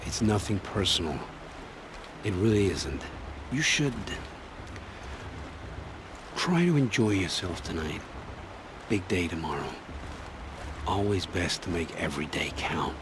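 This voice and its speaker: An actor playing a gruff, evasive ruin scavenger and scout in a video game, brusque and defensive. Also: male voice, low voice, lively intonation, measured pace, pauses often. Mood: weary, dismissive. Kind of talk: acted video game dialogue. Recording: clearly noisy, ambient background.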